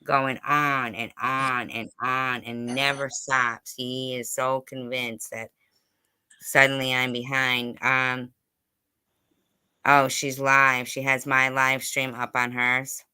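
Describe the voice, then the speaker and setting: Livestream host, clear bright voice, dry close sound, casual but insistent, keeps restarting and repeating the point. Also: medium pitch, level tone, no echo. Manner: insistent, halting, repeats and circles back to the point. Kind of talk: casual livestream interview chat.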